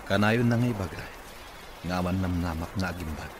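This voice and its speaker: An actor with an Ilocano accent playing an earnest, grateful boss who is a recovering patient, in a radio drama. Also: male voice, low-pitched, normal speed, close to an everyday voice. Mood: serious. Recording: noisy radio drama recording.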